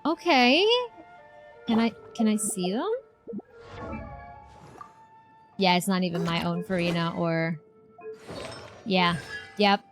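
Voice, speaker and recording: A woman livestreamer reacting to a game in casual, excitable, slangy chat. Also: on the low side, slow pace, long pauses, soft background music.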